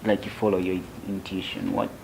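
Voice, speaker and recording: Ugandan man in his twenties, interview guest; very low, gravelly voice, slow and soft-spoken, faint hiss behind. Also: flat delivery, poor audio. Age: 20s. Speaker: young tech founder, interview guest. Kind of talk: interview answers.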